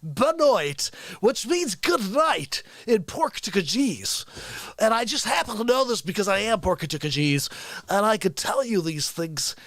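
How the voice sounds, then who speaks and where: High-voiced excitable man hosting a livestream. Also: unhurried, no echo. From United States. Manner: rambling, excitable, chuckles often; hypes the show with catchphrases. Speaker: livestream show host. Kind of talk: unscripted live-stream chat with viewers.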